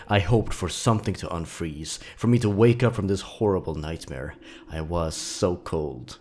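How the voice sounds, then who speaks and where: Low-pitched man narrating horror stories. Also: darkish tone. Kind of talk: scripted dramatic narration of horror fiction.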